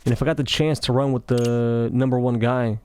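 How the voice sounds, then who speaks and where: Young man, faint Russian accent, meandering chatty commentary, mid pitch, faint background hiss. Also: fairly even intonation, fairly quick, a little mellow. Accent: slight Russian. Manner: talkative, meandering, self-interrupting. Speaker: gaming commentary creator. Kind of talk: rambling casual commentary over gameplay. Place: office.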